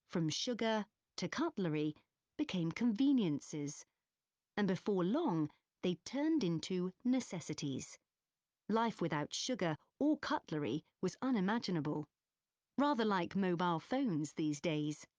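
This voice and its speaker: A woman delivering a scripted academic lecture in a measured, clearly articulated way for a listening test recording. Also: on the low side, sing-song, darkish tone, no room sound.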